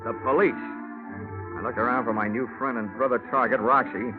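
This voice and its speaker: A man acting as a hard-boiled American private investigator in a vintage radio serial. He delivers wry, sardonic lines at a middle pitch, through a very narrow, tinny old broadcast sound with music around it. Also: steady tone, moderate pace, lightly acted. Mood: wry narrating. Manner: sardonic, wry, clipped wisecracks. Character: wisecracking, hard-boiled male insurance investigator. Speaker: lead actor and narrator in a radio detective serial. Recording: muffled, narrow-band old radio recording.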